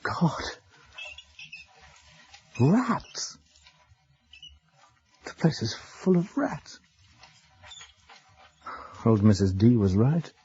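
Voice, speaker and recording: A man's voice: low-pitched, mellow, muffled recording, heavily acted. An actor playing a genteel, self-assured male scholar who muses aloud in a radio drama. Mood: startled. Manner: musing aloud, exclaiming and chuckling.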